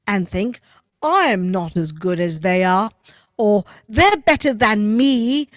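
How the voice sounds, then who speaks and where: A patient, kindly farmer, as a woman storyteller puts him on, speaking low and slow to soothe. The delivery is reassuring, unhurried and dry-sounding. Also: dry recording, full character voice. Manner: gentle, reassuring, lowered voice. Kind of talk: children's storytelling.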